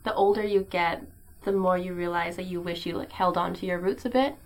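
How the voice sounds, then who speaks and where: A woman's voice: on the low side, steady tone, brisk. A woman co-hosting a podcast, making brief, thoughtful remarks in casual chat.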